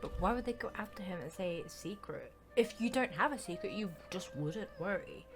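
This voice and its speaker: A woman's voice: medium pitch, lively intonation, normal speed. A woman streaming a game with casual, playful, slangy commentary. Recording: game music behind the voice.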